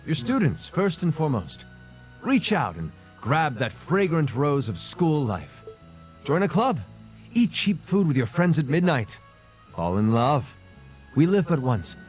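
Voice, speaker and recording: An actor playing an eloquent, playful noble prince in a game cutscene, with a refined, theatrical manner. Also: male voice, a little high, very animated, unhurried. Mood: playful, grand. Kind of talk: scripted game cutscene acting. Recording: music behind the voice.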